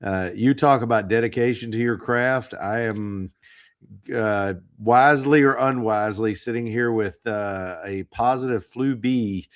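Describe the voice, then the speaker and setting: A man hosting a financial podcast, hoarse from the flu, talking casually with frequent fillers and repeated words. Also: lowish voice, a little slow. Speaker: podcast host.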